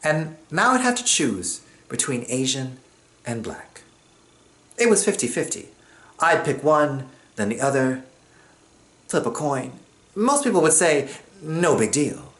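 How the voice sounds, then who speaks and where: A man from Jamaica telling a personal story on stage, expressive and acting out what other people say. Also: mid-range voice, measured pace, pauses often.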